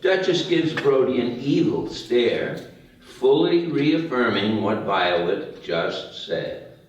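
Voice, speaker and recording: A man's voice: medium pitch, animated, takes it slowly, slight background noise. A man reading out stage directions in scripted narration at a table read. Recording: very echoey room, distant mic.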